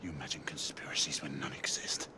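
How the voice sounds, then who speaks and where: Gruff, very deep-voiced British knight. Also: male voice, little pitch movement, fast talker, in a whisper. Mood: skeptical, hushed. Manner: gruff, terse, formal. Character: grim, skeptical British knight, loyal and weary soldier. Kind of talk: dramatic video game acting. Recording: loud game ambience behind the voice.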